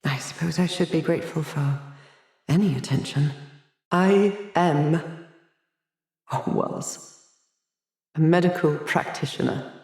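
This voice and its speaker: A man's voice: fairly high pitch, a little mellow, roomy sound. A man playing a haughty, haunted asylum doctor in a video game, theatrical and erudite, fretful and hesitant, obsessed with his art. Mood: weary, distracted. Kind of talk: dramatic video game dialogue.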